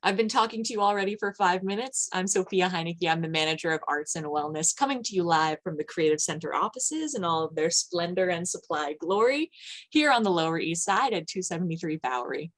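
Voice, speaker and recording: A woman speaks as the host of an online arts event. Her voice sits a little lower than average. She addresses her audience in an informal, effusive way, as someone welcoming guests on a video call. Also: expressive, fairly quick. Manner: effusive, greeting people by name.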